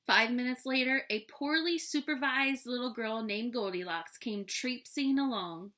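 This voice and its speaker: A woman reading a children's storybook aloud in an expressive, playful, tongue-in-cheek manner. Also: fairly high pitch.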